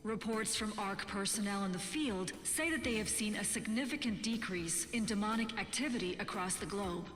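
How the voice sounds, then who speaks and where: Actress as female resistance news announcer, video game, formal, earnest, measured delivery, long echoing reverb, music underneath. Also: medium pitch, a bit bright, lightly acted. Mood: grave, formal. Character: resistance radio broadcaster, sober woman delivering hopeful war news. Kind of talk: scripted radio news broadcast. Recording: echoing broadcast with music bed.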